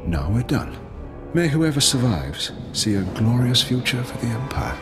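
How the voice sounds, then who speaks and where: An actor playing a defiant, fatalistic rebel leader in a game cutscene, grave and sardonic. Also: male voice, medium pitch, big pitch swings, moderate pace, in a large hall, lightly acted. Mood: cold. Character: defiant rebel leader, middle-aged man, proud and fatalistic. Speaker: villain. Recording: game cutscene with loud music.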